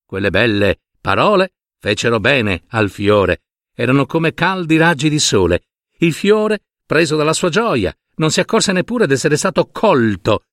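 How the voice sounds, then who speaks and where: A man's voice: a little high, very animated, a little slow, fairly bright tone, no echo, studio quality. A man narrating a fairy tale expressively, theatrical and with conversational asides. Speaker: storyteller.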